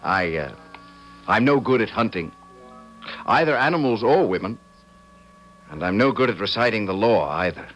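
A man's voice: fairly low pitch, animated, normal speed, pauses often, fairly bright tone, faint music behind, low-quality recording. An actor playing a meek, cheerful young man, the priest's son, who tells his own story with wry, hesitant self-mockery. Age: young adult. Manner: self-mocking, hesitant, wry first-person telling. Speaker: narrator. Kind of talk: first-person storytelling in radio drama.

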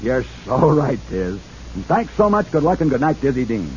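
Man, dramatic radio narrator, very animated pitch, dull old broadcast audio. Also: moderate pace, slight background noise. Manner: theatrical, emphatic showman. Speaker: radio host. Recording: muffled vintage radio with music.

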